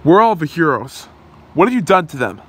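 A man voicing an earnest, cheeky and defiant young superhero in an amateur ASMR roleplay, quippy and animated. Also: a little high, a little fast, husky, voice pushed up, full character voice. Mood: defiant, pleading. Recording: noisy room hum.

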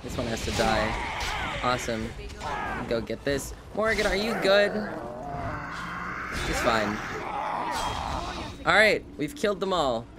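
A man's voice: mid-range voice, sing-song, slow, pauses often, heavy background noise. A man giving casual, informal live commentary as a game streamer, musing aloud to himself. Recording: game music behind the voice.

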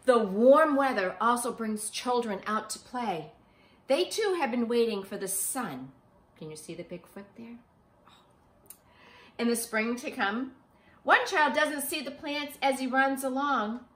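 A woman's voice: fairly high pitch, measured pace. A middle-aged woman who teaches preschool, reading a picture book aloud expressively and asking young children simple questions. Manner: expressive, emphatic, child-directed delivery. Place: small living room, indoors on a sofa. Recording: roomy home recording.

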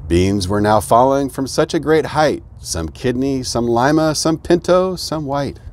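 A middle-aged American man reading a children's rhyming storybook aloud in an expressive storytelling manner. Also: mid-range voice, a little slow, a little hiss or hum. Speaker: fire chief, guest reader. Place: outdoors beside an open fire truck.

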